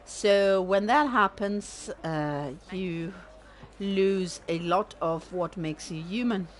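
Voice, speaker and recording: A woman with an Italian accent, casually commenting on a game stream in a sardonic, animated way and swearing freely. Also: mid-range voice, slow, a little hiss or hum. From Italy. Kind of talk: casual let's-play commentary.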